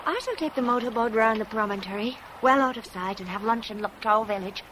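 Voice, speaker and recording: Actress as gentle young woman, highish voice. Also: a little fast, close to an everyday voice. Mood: concerned, tender. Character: devoted, caring young Chinese woman helping a friend. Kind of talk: 1970s British radio drama acting. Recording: noisy old broadcast with outdoor sound effects.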